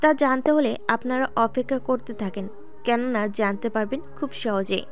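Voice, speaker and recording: A woman's voice: on the high side, moderate pace. A woman narrating a scripted audio story as its storyteller. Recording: music bed under the voice.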